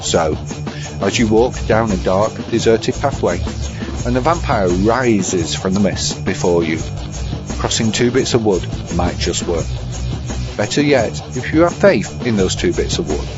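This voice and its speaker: A man with a lowish voice narrates a scripted podcast essay. He is measured, formal and bookish. The recording is a little dull, with music clearly playing behind him. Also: animated. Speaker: podcast segment presenter. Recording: muffled audio with music behind.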